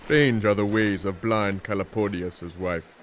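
A man's voice: lowish voice, steady tone, measured pace, natural voice. A man playing a courteous, dryly amused companion with formal phrasing in an audio drama. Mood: wry admiration. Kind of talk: full-cast audio drama acting.

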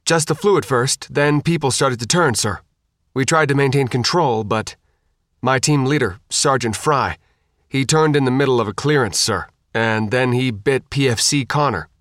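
A man's voice: mid-range voice, normal speed, a bit bright, no echo, lightly acted. An earnest young Marine lance corporal, acted out by a male narrator. He speaks in precise, formal barks as in a military report. It is audiobook dialogue, recorded dry. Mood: anxious, defensive. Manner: barking, precise military address.

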